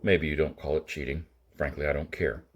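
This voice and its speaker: Stony gray-haired tough played by a man, low-pitched and rough-edged, impatient, level delivery for sci-fi storytelling. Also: little pitch movement, a little fast, lo-fi sound. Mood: level, firm. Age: older. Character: gray-haired, rock-hard gunman, curt and commanding.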